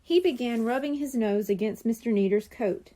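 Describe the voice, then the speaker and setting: A woman's voice: fairly high pitch, normal speed, dark tone. A woman narrating a scripted children's audiobook.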